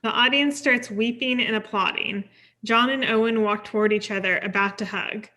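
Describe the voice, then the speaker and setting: A woman's voice: a little high, fairly even intonation, normal speed, bright, forceful tone. A woman reading stage directions as scripted narration at a table read.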